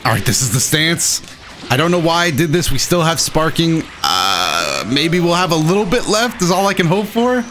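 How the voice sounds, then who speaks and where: Excitable young man, lively gaming commentary. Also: a little high, moderate pace. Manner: excitable, exclaiming, self-deprecating. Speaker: gaming video host. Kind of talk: live commentary over own gameplay.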